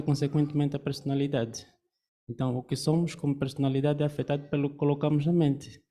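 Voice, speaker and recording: Male congregation member, mellow timbre, mid pitch, reflective commentary with rhetorical questions. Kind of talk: spoken comment at a religious study meeting.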